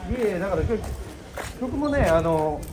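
友人同士でくだけた雑談をする男性のミュージシャン。. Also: male voice, high-pitched, expressive, brisk. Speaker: musician. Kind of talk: casual chat among friends. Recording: street recording with background chatter. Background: outdoor street crowd noise.

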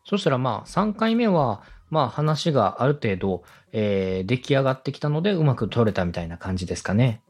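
Male podcast host, husky and very animated in intonation. Polite, encouraging interviewer and adviser. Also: medium pitch, a little fast, few pauses. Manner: courteous, encouraging. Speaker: podcast host, radio director. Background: background music.

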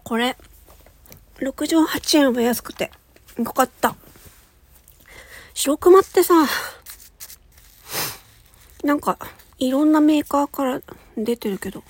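A woman's voice: sits high, a little slow, pauses often, no echo. A woman chatting casually in a food-tasting ASMR style, murmuring short remarks between mouthfuls and at times dropping toward a whisper. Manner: talks while eating ice cream. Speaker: audio streamer.

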